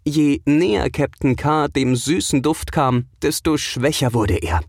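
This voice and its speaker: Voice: mid-range voice, measured pace, a little mellow, husky, no echo, studio quality. A narrator reading a scripted children's audio adventure as a storyteller.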